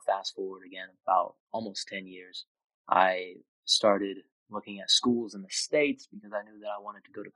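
A man's voice: lowish voice, pushed and bright, no room sound, low-quality recording. A man in his twenties, raised in Austria, speaking as a podcast guest in a thoughtful, reflective way. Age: 20s. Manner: reflective, thoughtful with frequent restarts. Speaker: interview guest. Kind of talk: casual conversation.

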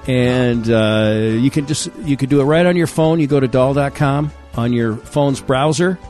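A man's voice: medium pitch, moderate pace, darkish tone, soft background music. A man hosting his own podcast, rambling and self-mocking in casual studio banter, laughing often. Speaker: podcast host. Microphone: studio microphone.

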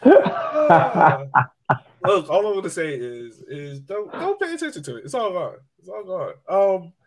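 Male podcast host, slightly high pitch, casual conversational sports talk. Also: wildly swooping pitch, a little slow, over a noisy crowd.

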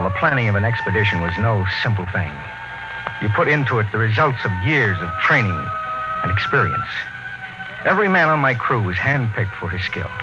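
A man playing a weary, commanding expedition captain in an old-time radio drama, speaking tersely and gravely as he leads and narrates. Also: fairly low pitch, rough recording. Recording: vintage radio recording with music.

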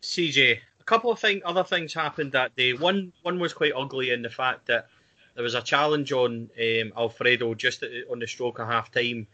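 Bright-voiced podcast host. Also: male voice, mid-range voice, moderate pace, dull audio. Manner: leads the talk, hands over to guests by name. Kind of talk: casual football podcast chat.

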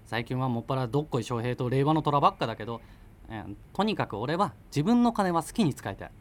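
A man's voice: mid-range voice, expressive, fast talker, close to an everyday voice. An actor playing an evasive, argumentative boyfriend in his thirties in naturalistic radio drama dialogue, rambling as he justifies himself. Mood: rambling, keen. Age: 30s. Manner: defensive, rambling self-justification. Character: evasive, argumentative thirty-year-old freelancer boyfriend living with parents.

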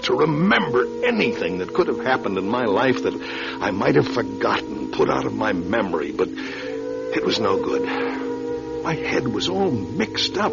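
A man's voice: medium pitch, creaky. An actor playing an anxious, defensive male political newcomer in a radio drama, hesitant and agitated. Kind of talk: radio drama acting with first-person narration. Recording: dull old radio recording.

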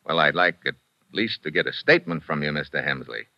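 A man's voice: a bass voice, animated, fairly quick, bright, forceful tone, muffled recording. A man playing a wisecracking foreign correspondent in an old radio drama, with a breezy tough-guy delivery. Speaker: lead actor, foreign correspondent. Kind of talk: 1950s radio drama acting. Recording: dry old radio recording.

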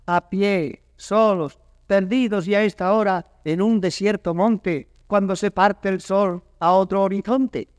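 An actor playing a cowardly, grumbling comic manservant in a verse play, clownish and exclamatory. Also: male voice, high-pitched, steady tone, unhurried, no room sound, studio quality, big-room sound. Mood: indignant grumbling. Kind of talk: classical Spanish verse comedy, theatrical.

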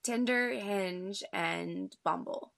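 A woman co-hosting a casual podcast, losing her voice, which sounds low and worn, telling jokey stories. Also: very slow, gravelly, a bit bright, no echo. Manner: jokey storyteller. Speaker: podcast co-host.

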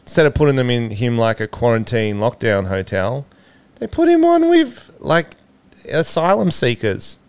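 A young Australian man co-hosts a podcast. His voice is smooth and clear, and he jokes and swears as he goes. The sound is close and dry, and his pitch sits in the middle. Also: very animated, normal speed, darkish tone, no echo. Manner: joking, swearing, laughs often. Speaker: podcast co-host. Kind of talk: casual chat.

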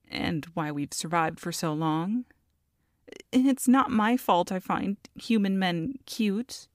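A woman's voice: fairly low pitch, no echo, studio quality. A woman voicing a feisty, scheming goblin mother in an audio roleplay, sassy and haughty as she talks to a captive. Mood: boastful. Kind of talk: ASMR audio roleplay monologue.

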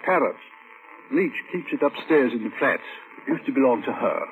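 A man's voice: mid-range voice, animated, normal speed, natural voice. An actor playing a reserved, plain-spoken middle-aged male neighbour in a vintage radio drama, cautious and clipped in his answers. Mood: remembering. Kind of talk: 1940s-1950s radio drama acting. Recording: tinny, hissy vintage radio recording.

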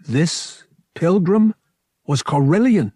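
Husky male audiobook narrator. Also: a little high, expressive, takes it slowly, dark tone, no echo, studio quality. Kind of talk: dramatic scripted narration.

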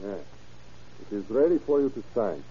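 Dark-voiced, husky Mexican henchman. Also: mid-range voice, animated, normal speed. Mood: deferential. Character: obedient Mexican lieutenant, servile, sly henchman. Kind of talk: old-time radio drama acting. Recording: muffled old radio recording.

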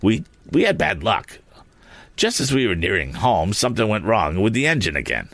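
A man's voice: fairly low pitch, huge pitch swings, moderate pace, fairly bright tone, dry recording, pitched above the performer's own voice, full character voice. A man voicing a teenage bully in a storybook who earnestly and defensively protests his innocence. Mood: earnest, explaining. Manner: defensive, earnest, plain-spoken.

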